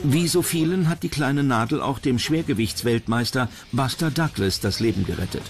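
A man's voice: mid-range voice, lively intonation, moderate pace. Male documentary narrator over music. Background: background music.

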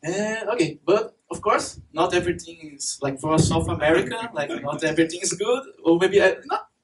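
A man's voice: sits high, lively intonation, moderate pace. A young man from Brazil with a Brazilian Portuguese accent, giving a chatty conference talk full of fillers and laughs. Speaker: conference speaker. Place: dark event hall with stage and projector. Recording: distant mic with faint noise.

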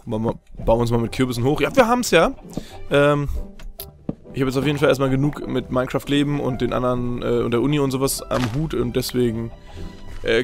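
A young man, slightly hoarse, chatting casually and rambling with many fillers as he comments on his own game. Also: mid-range voice. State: slightly hoarse after a long stream. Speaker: Let's Play host.

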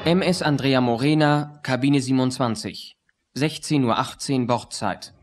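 A formal ship's log announcer played by a man in a radio play. His medium-pitched delivery is clipped and factual, with music behind it. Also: measured pace, close to an everyday voice. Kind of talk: clipped log announcement in a German audio drama.

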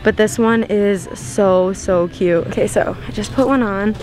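A young woman talks through a casual shop-with-me video, recorded on a handheld phone inside a large store. Her delivery is chatty and upbeat, peppered with "like" and quick asides. Her voice sits at an ordinary middle pitch for a woman. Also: a little mellow, soft background music, rough recording. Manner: chatty, upbeat, lots of "like" fillers. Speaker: vlogger. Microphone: handheld phone camera.

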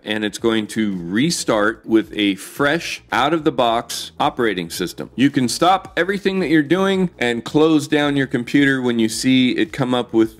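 A middle-aged man hosting a tech tutorial, walking through steps in a clear, upbeat, chatty way, recorded in a living room. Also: medium pitch. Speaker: tech video host. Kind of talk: step-by-step tutorial narration.

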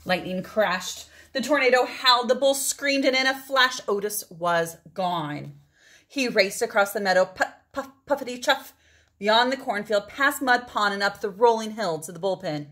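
A middle-aged woman with a mid-pitched voice reads a children's picture book aloud. Her delivery is expressive, and she stretches key words for drama. She is recorded indoors, a short distance from the mic. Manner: dramatic phrasing with drawn-out words for emphasis. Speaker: storybook reader.

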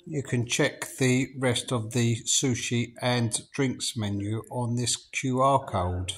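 A man's voice: mid-range voice, fairly even intonation, slow pace, husky. A man, talking casually and enthusiastically to viewers while dining on an outdoor restaurant terrace. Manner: chatty, enthusiastic, addresses viewers as guys. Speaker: food vlogger. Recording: background music in the restaurant.

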